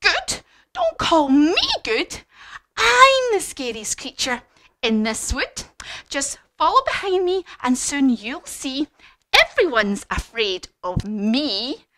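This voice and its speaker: Woman as a high-pitched cheeky little mouse. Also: very animated, slow, bright, forceful tone, full character voice. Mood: boastful, defiant. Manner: bold, boastful, emphatic. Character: small, brave, cheeky, boastful male storybook mouse. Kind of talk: children's storytelling.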